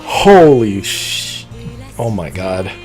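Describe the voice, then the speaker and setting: A male streamer yelling out excited reactions while he plays, with curses slipping in. He drawls, yet his voice swings widely up and down. Game music hums faintly underneath. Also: on the low side, drawn-out words, low-quality recording. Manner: loud excited exclamations and swearing. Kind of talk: live gameplay reaction.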